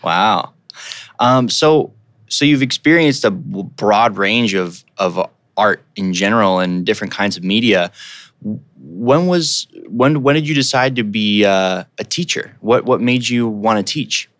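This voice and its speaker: A man hosting a podcast interview, speaking in a relaxed way with many fillers. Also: fairly low pitch, a little slow, dry recording. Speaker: podcast host.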